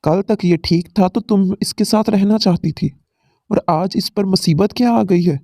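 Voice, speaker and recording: A man's voice: high-pitched, normal speed, dark tone, dry recording, studio quality. A man voicing a loyal, protective young college man who stands by his friend in a cartoon moral story. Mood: indignant.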